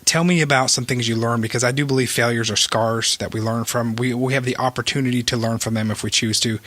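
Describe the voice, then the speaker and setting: A man's voice: medium pitch, steady tone, quick pace, fairly bright tone, dry recording. A man hosting a podcast, asking questions in an enthusiastic, encouraging casual manner. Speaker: podcast host. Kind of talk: casual interview talk.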